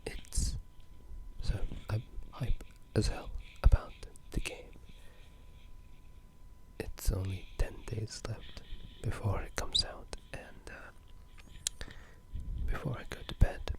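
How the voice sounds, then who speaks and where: Slow, hushed ASMR whisperer. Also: medium pitch, steady tone. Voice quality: breathy, unvoiced whisper. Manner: hushed, unhurried, halting delivery. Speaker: ASMR gaming video creator. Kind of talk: ASMR whispered casual game discussion.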